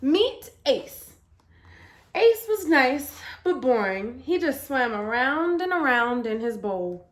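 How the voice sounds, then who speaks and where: Lady reading a kids picture book out loud, highish voice, super lively, stresses words. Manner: expressive, deliberate emphasis. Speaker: storyteller. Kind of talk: children's picture book read-aloud.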